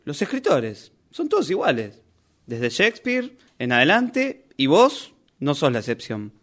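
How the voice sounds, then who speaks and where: A man's voice: a little high, huge pitch swings, a little slow, fairly bright tone, exaggerated voice. An actor with a Rioplatense Argentine accent playing a defiant, indignant character from a novel who argues with his author, in a radio play rehearsal. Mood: reproachful. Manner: argumentative, reproachful.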